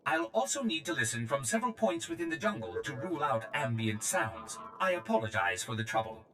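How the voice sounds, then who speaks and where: An actor playing a polite, eager protocol droid translator in a video game, with formal, precise speech. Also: male voice, medium pitch, huge pitch swings, a little fast, bright, forceful tone, faint music behind. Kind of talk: video game character acting.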